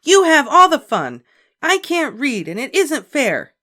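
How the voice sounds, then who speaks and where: A woman voicing a stubborn, sulky little girl in a high, shrill child voice. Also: wildly swooping pitch, normal speed, fairly bright tone, exaggerated voice. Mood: shouting.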